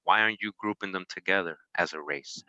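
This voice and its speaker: A man's voice: low voice, brisk, bright, forceful tone, dry recording, highs cut off. A man from Costa Rica in a casual discussion, speaking informally and often adding "you know.".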